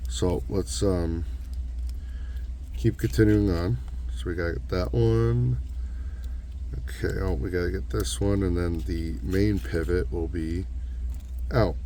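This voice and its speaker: Hoarse young man, low mellow voice, relaxed rambling tutorial talk, faint background noise. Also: takes it slowly, pauses often. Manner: relaxed, rambling, self-correcting. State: hoarse, losing his voice. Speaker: knife modding channel host. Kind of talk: casual hands-on tutorial.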